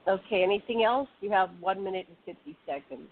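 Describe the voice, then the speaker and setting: A woman host moderating a group video call, brisk and organizing as she keeps time for each speaker. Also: medium pitch, expressive. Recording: tinny call audio with faint hiss.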